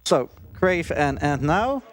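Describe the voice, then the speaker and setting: A man's voice: a little high, big pitch swings. A man casually commentating his speedrun live, joking and chatting. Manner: joking, chatty, quick laughs.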